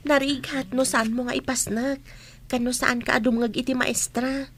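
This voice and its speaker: A woman's voice: sits high, little pitch movement, normal speed, fairly bright tone. A woman playing a bullied, studious teenage schoolgirl in an audio drama, earnest and plaintive. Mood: earnest, cautious. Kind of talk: amateur audio drama acting.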